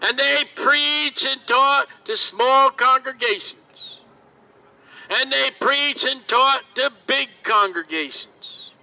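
A man preaching outdoors at a market, his voice pitched very high. He speaks very slowly, stretching his words. His tone is sharp and piercing, picked up from across the street. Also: gravelly. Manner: slow, drawn-out shouted preaching. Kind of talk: open-air street preaching.

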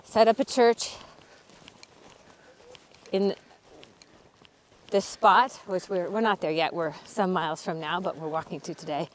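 A woman's voice: on the low side, steady tone, a little slow, long pauses, slight background noise. A middle-aged woman hosting a casual walking talk outdoors, explaining history with frequent ums. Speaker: author and channel host. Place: outdoors on a cold hillside.

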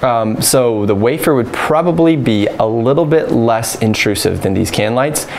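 A man in his thirties with an American accent and a medium-pitched voice talks through hands-on work like a practical electrician. His manner is informal, matter-of-fact and explanatory. Clear ambient noise of an unfinished basement sits behind the voice. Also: animated, normal speed, darkish tone. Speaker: electrician presenting a how-to tutorial. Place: unfinished basement with exposed joists. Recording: clearly noisy room ambience.

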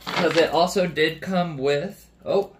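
Guy doing a laid-out unboxing review, kinda high voice, slangy and informal. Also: steady tone, takes it slowly, noisy background. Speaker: product reviewer. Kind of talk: casual unboxing commentary. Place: small indoor room with shelves of speakers.

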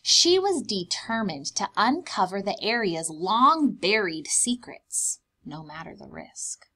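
A woman's voice: mid-range voice, sing-song, slow pace, bright, forceful tone. A young woman reading a picture book aloud to small children, with dramatic emphasis and simple explanatory asides. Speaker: preschool teacher reading aloud.

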